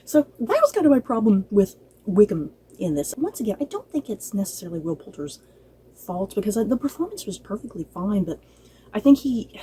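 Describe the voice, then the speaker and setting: A woman's voice: mid-range voice, very animated, a little fast, warm tone, a little hiss or hum, rough recording. A woman giving a casual, chatty review, speaking in a gushing, emphatic and informal way. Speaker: book and drama reviewer.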